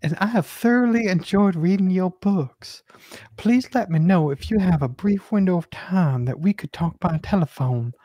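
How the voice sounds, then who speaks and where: Woman playing a gushing, effusive letter writer, clear voice. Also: on the low side, moderate pace, mellow. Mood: syrupy. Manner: effusive, performed reading of a letter. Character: gushing Southern woman clerk writing effusive emails.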